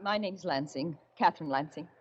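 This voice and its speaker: A woman's voice: low voice, a little fast, breathy edge. An actress in a 1940s radio drama playing an uneasy but proper lady held captive. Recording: dull old radio recording.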